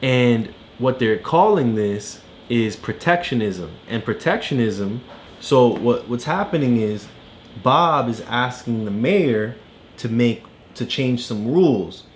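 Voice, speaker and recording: A man tutoring a child, casually explaining a storybook in simple, halting steps. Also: mid-range voice, animated, a little hiss or hum.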